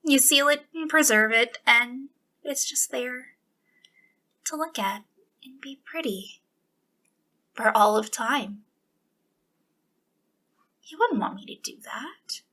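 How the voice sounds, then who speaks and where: A woman playing an obsessive, sweetly menacing female captor in an ASMR roleplay, speaking intimately in a breathy voice that slips into whispers. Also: sits high, sharp tone, heavily acted. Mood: quietly menacing. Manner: breathy delivery slipping into whispers, lingering pauses.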